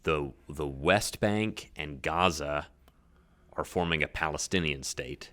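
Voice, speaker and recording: Deep-voiced man summarizing history. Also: takes it slowly, pushed and bright. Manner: thinks aloud with restarts, sums up and checks facts. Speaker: podcast co-host. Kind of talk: casual history discussion.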